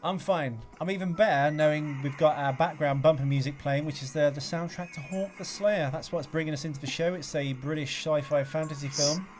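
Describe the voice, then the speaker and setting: Higher-pitched man rambling through a film podcast. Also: fairly even intonation, fairly quick, hardly any pauses, music in the background. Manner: rambling, jokey, sweary. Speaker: podcast co-host. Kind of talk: casual comic film chat.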